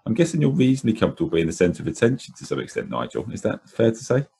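Deep gravelly fast-talking host. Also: male voice, wildly swooping pitch, mellow. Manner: affable, halting questions with fillers. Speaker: podcast host, interviewer. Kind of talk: casual interview.

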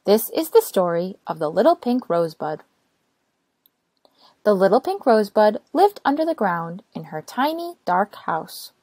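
A woman narrating a children's storybook aloud in an expressive, careful way. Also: mid-range voice, no echo. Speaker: storytime reader.

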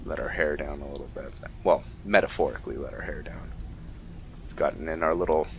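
A man's voice: rumbling low, brisk, vocal fry, a little hiss or hum. A man giving casual roleplay commentary on a video game, rambling and thinking aloud as he plays. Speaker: gaming video host. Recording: dull lo-fi mic under music. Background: game music.

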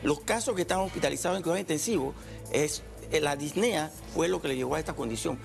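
A middle-aged man with a gravelly, slightly high voice talks as a doctor on a morning TV set. He explains each point calmly and simply. Faint music sits under his lapel mic. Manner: measured, explains in plain terms. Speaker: infectious disease doctor guest. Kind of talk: explanatory TV interview. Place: TV studio.

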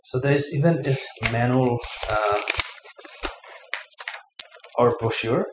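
A man giving a casual unboxing review of a gadget, talking haltingly with many fillers, at a table in his living room. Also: mid-range voice. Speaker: product reviewer. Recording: echoey room, faint room noise.

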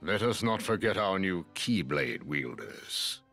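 An actor playing an aged, grave wizard mentor in a video game, speaking slowly and solemnly with a deep, breathy voice. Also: male voice, very animated, bright, forceful tone, soft background music, exaggerated voice.

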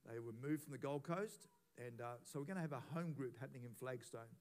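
Aussie pastor introducing people at church, easygoing and chatty, mid voice. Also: male voice, mid-range voice. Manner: relaxed, informal, asks quick questions. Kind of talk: leading a church service. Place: church hall.

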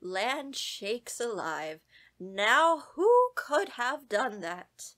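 Radio drama: actress as bossy older country mother-in-law, high piercing voice, very animated, brusque. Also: drawn-out words, heavily acted. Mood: brusque, puzzled. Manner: brusque, folksy, scolding.

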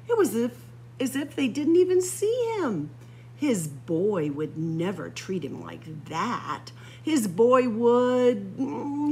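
A woman reads a picture book aloud to children in a lively, rising and falling voice that sits a little high. She takes her time, leaving dramatic pauses and stretching out key words. A noticeable hum of background noise runs under the recording. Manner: dramatic pauses and drawn-out emphasis for young listeners. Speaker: library story-time reader. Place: library room with bookshelves.

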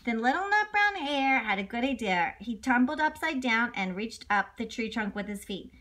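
A woman tells a children's story in a high, bright voice. She swings widely in pitch and adds playful, chatty asides to her young audience. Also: expressive, moderate pace. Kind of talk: animated children's read-along narration.

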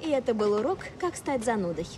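An actress voicing a brash, eager young female street racer in a video game, teasing and excitable. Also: a little high, a little fast, a little hiss or hum. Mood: mocking.

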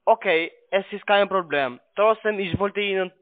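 Male cold caller, non-native speaker, higher-pitched, insistent and halting, narrow telephone sound. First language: not German. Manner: pushy, broken grammar, halting. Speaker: cold-calling telemarketer. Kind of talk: phone sales call. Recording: narrow tinny phone line.

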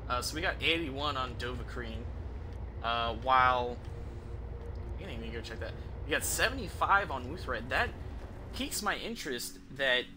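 A man's voice: mid-range voice, expressive, normal speed, background noise. A man in his thirties giving casual gameplay commentary, musing and rambling with some casual swearing. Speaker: streamer. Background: game music.

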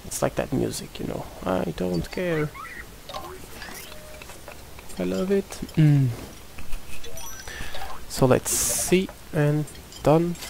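Portuguese guy muttering thru a game, slow gravelly voice, noisy background. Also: fairly high pitch, warm tone. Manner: mumbling, talks to himself while playing. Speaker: gameplay commentator. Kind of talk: casual gameplay commentary.

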